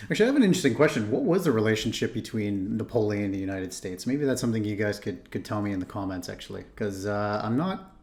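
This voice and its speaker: A man's voice: lowish voice, lively intonation, quick pace, few pauses, a little mellow. A man from Ontario hosting a reaction video, chatting casually and thinking aloud with frequent restarts. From Canada (Ontario). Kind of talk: casual commentary.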